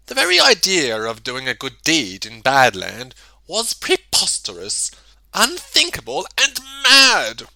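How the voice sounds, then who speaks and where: A man reading a children's story aloud in an expressive, theatrical way, with emphatic delivery and dramatic pauses. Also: mid-range voice, no echo, poor audio. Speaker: storybook reader.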